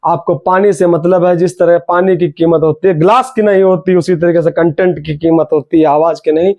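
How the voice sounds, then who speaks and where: A man's voice: high voice, steady tone, a little fast, warm tone, muffled recording. A young man with a sore throat teaching an online exam reasoning class, urging students on and repeating key words.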